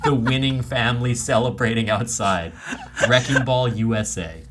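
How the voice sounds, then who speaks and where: A man's voice: medium pitch, moderate pace, background noise. A man hosting a comedy podcast, riffing casually in improvised banter. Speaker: podcast host.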